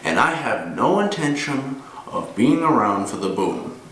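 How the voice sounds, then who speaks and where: A man performing a dramatic monologue as a gruff, weary older ex-cop, grimly menacing, telling his tale deliberately with pauses. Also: mid-range voice, big pitch swings, fairly bright tone. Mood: grim warning. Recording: roomy, distant mic with noise.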